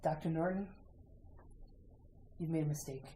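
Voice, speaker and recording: A woman playing a folksy, skeptical small-town sheriff in a retro radio mystery, measured and matter-of-fact. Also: sits low, fairly even intonation, warm tone, close to an everyday voice. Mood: grave, steady. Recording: roomy sound with faint noise.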